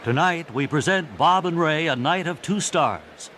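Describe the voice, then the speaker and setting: A formal, self-important stage host, in the manner of old radio announcers. His voice sits a little high, with faint noise on the recording. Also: lively intonation, measured pace, fairly bright tone. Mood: formal, put out.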